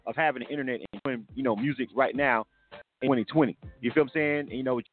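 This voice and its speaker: A man hosting a hip hop radio interview, energetic and slangy, often repeating himself. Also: medium pitch, quick pace. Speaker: radio show host. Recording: lo-fi narrow sound with music behind.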